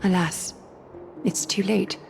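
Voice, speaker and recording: A woman's voice: mid-range voice, fairly even intonation, slow pace, creaky, over music. An actress playing a composed, grave, cautious noblewoman in a video game, speaking formally and solemnly. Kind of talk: scripted video game drama.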